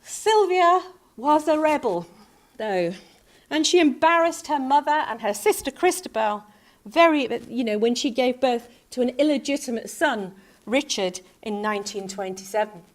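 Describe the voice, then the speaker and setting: A woman with a Northern English accent giving a public history lecture, animated, rising on key names and dropping low for asides. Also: normal speed. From Northern England. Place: lecture hall.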